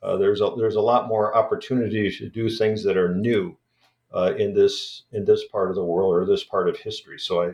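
Halting man in an echoey room. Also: lowish voice, fairly even intonation, darkish tone. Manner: halting, many restarts and fillers. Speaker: guest scholar. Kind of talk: hesitant conversational interview.

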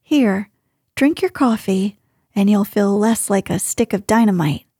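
A woman's voice: mid-range voice, measured pace, mellow, slightly rough, dry recording, clean studio sound. A woman voicing a cheeky, mischievous teenage girl who talks in a playful, teasing way.

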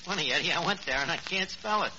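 An actor playing a dim, bluffing, uneducated city man with a halting, muddled delivery, in 1940s radio comedy style. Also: fairly high pitch, expressive, natural voice. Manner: halting, muddled, mangling words. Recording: muffled, noisy old radio broadcast.

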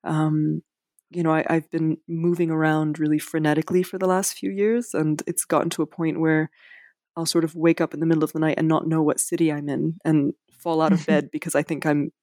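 A woman's voice: low voice, flat delivery, a little fast, mellow, no room sound, clean studio sound. A woman author speaking in an interview, hesitant, with many fillers and restarts. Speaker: author guest. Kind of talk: casual interview.

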